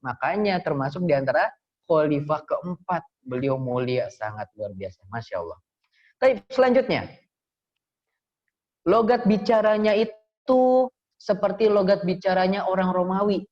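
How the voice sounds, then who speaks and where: A man's voice: sits high, animated, unhurried, pauses often. Playful man telling stories to kids, lo-fi. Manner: playful, asks children questions, laughs often. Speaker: children's religious storyteller. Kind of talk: lively storytelling lesson for children. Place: small prayer room. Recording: dull lo-fi recording.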